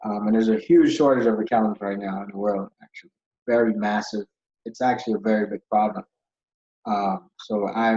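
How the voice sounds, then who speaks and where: A man's voice: on the low side, darkish tone. A young man from Ghana, a podcast guest who tells his story in a rambling, casual way with many fillers. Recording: dull lo-fi audio.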